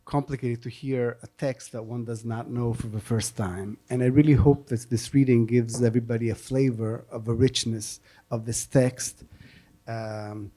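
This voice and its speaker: A man hosting and interpreting at an event, speaking off the cuff in a halting, hesitant way. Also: mid-range voice, steady tone, mellow. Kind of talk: unscripted event talk.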